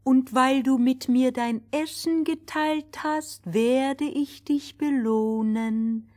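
A woman voicing a kindly little fairy-tale man who speaks in a slow, solemn, drawn-out way. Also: sits high, steady tone, darkish tone, voice pushed up.

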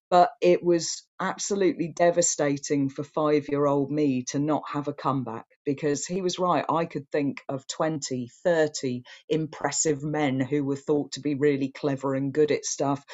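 A woman in her thirties giving an online talk from her living room, earnest with frequent restarts, her voice getting a bit hoarse. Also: deep voice, darkish tone, lo-fi sound. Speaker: guest speaker. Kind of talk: online talk answering comments. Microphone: webcam on video call.

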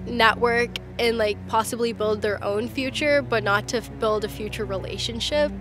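A woman's voice: on the high side, fairly even intonation, moderate pace, fairly bright tone, faint music behind. Chatty young woman from Michigan. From Detroit, Michigan. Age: young adult. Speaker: student. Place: outdoors on campus in snow.

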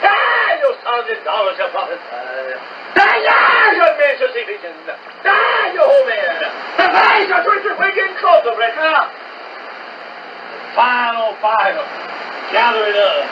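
A man's voice: very high-pitched, huge pitch swings, takes it slowly. A man preaching a fiery Pentecostal holiness sermon, screaming his exhortations and dropping suddenly into plainer talk, heard over shortwave radio. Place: heard from a shortwave receiver's speaker. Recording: noisy, muffled shortwave radio broadcast, distant.

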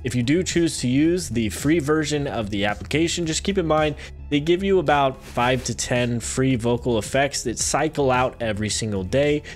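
A man in his thirties hosting a software tutorial, speaking in a friendly, direct way to viewers into a close microphone. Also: medium pitch, moderate pace, soft background music. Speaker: video tutorial host. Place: small room indoors at a desk. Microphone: close desk microphone with headset on.

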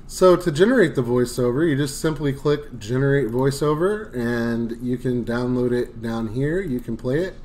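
Casual man demoing software, chatty. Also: normal speed, hardly any pauses. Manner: loose, filler-heavy, enthusiastic pitch. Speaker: tutorial host. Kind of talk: casual screen-recorded software walkthrough.

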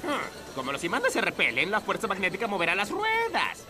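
Lively, brainy cartoon inventor. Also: male voice, high-pitched, fairly quick, heavy background noise. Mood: thoughtful. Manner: pondering, precise. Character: clever, methodical animal inventor of hero gang. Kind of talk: animated cartoon dub acting. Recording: loud music behind the voice.

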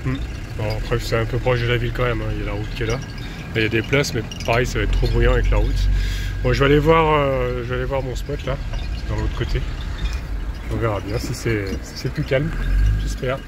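A man's voice: mid-range voice, steady tone, fairly quick. A tired man in his thirties chatting casually to the camera while driving his camper van, thinking aloud with many fillers. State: tired after a sleepless night. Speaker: vanlife vlogger. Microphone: camera mounted on the dashboard. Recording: engine and road noise behind the voice.

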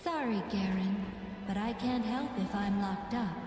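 A woman playing a gentle, thoughtful young mage musician with quiet sass in a video game, measured, in an echoing telepathic voice. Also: mid-range voice, over music. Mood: reproachful. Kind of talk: video game character voice lines. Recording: echoing, long reverb game audio.

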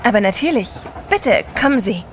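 An actress playing a self-assured, adventurous gentleman's wife, poised and assertive, in a German audio drama. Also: fairly high pitch, animated, moderate pace, a little hiss or hum, natural voice. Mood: welcoming.

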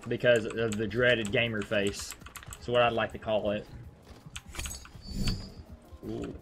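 A man's voice: lowish voice, flat delivery, takes it slowly. Young guy commentating over game music. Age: young adult. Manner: sweary, slangy. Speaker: gaming streamer. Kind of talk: casual gameplay commentary. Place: indoors at a computer.

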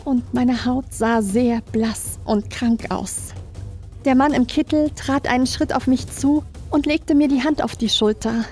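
A woman's voice: high-pitched, a little slow, a little mellow. A woman reading scripted first-person horror narration as a creepypasta narrator. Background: background music.

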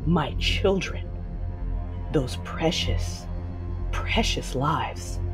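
A woman playing a fierce, grieving warrior mother who narrates her own story in a measured, dramatic audio drama style. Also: fairly low pitch. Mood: anguished. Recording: voice over a music bed.

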